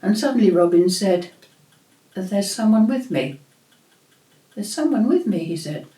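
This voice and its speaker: An older woman host recalling family memories in a slow, reflective storytelling manner, with frequent pauses and fillers. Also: mid-range voice, lively intonation, warm tone. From England. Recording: echoey room.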